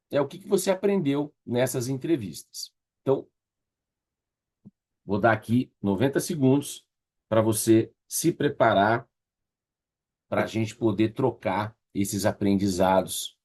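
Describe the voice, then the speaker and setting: A Brazilian man with a slightly husky voice teaching an online class in a conversational, encouraging way, often checking in with his listeners. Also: mid-range voice, measured pace, long pauses, a little mellow. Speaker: course instructor leading an online workshop. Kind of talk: casual interactive online teaching.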